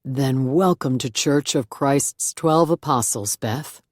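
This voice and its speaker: A woman doing a soft-spoken, gently warm pastor. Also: extremely low pitch, dry recording, studio quality, heavily acted. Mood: welcoming. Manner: gentle, soothing and unhurried. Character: warm, patient male pastor, middle-aged.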